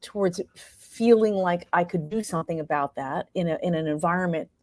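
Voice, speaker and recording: An American woman speaking reflectively as an interview guest, thoughtful and halting as she searches for her words. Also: on the low side, a little mellow, dry recording, studio quality. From New York state, United States. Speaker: interview guest, playwright and performer.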